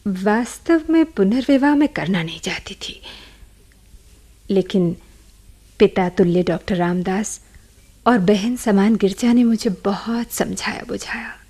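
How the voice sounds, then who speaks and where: An actress playing a grieving widow who recounts her tragic past in a radio play, slow and sorrowful. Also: mid-range voice, animated, mellow. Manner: slow, sorrowful, breathy delivery. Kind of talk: dramatic radio play narration.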